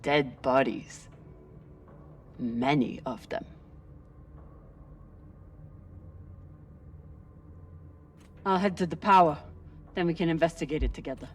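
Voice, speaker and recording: A woman's voice: deep voice, lively intonation, normal speed, slightly rough, recorded from a distance, faint music behind. A woman playing a tough, terse spaceship officer in a video game cutscene. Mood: grim, resolved. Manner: terse, commanding. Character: tough, guarded female spaceship first officer, Belter scavenger.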